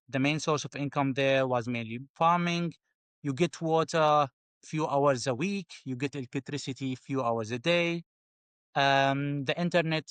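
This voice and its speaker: Syrian guy, smooth clear voice, dry close sound, kinda halting, repeats words. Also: medium pitch, fairly bright tone, no room sound. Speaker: guest. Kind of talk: interview answers.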